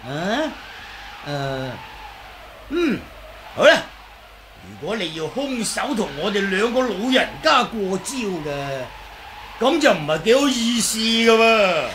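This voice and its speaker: An actor playing a boastful, wily, comical old martial artist in a Hong Kong Cantonese radio drama, chattering and teasing in drawn-out phrases. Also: male voice, high voice, big pitch swings, a bit bright, slight background noise, exaggerated voice. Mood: scheming, playful. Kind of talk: 1980s radio drama acting.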